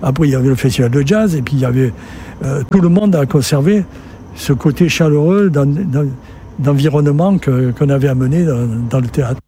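A man's voice: fairly high pitch, brisk, dark tone, slight background noise. An older man from southern France, a festival director telling his story conversationally in an interview, rambling and repeating words as he goes. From Sète, southern France. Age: 60s or older.

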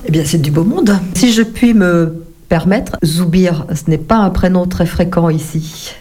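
Lowish mellow lady interviewer, polite, lots of little pauses. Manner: courteous, measured questions with many "euh" pauses. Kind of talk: radio-style interview.

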